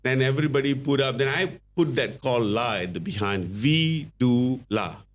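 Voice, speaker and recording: A middle-aged man from Malaysia with a Malaysian English accent, a podcast guest telling his story in a halting way, full of fillers and restarts. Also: medium pitch, slight background noise. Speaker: guest, company founder.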